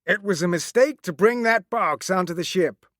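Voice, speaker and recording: A man reading aloud plays a stern sea captain who barks orders in a raised, high-pitched voice. His delivery swings widely in pitch, and he sounds like he is calling out across a deck. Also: expressive, moderate pace, no room sound, studio quality. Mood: grave recounting. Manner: shouting orders over a storm.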